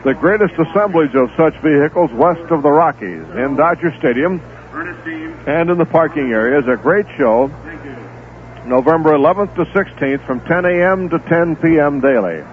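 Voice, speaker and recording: Polished male radio announcer, slightly high. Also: expressive. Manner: brisk, polished announcer read. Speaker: broadcast announcer. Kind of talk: reading promos and the score recap. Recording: tinny lo-fi 1970s radio broadcast.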